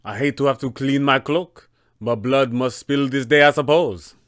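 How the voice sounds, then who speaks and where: A man's voice: medium pitch, fairly even intonation, normal speed, a bit bright, clear, resonant tone, no room sound, lightly acted. Tabletop villain: male performer as swashbuckling rogue captain, silky, flamboyantly polite, theatrical, dry sound. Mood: taunting, gleeful. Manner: flamboyant, mock-polite phrasing. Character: suave, roguish pirate captain, theatrical and mock-courteous.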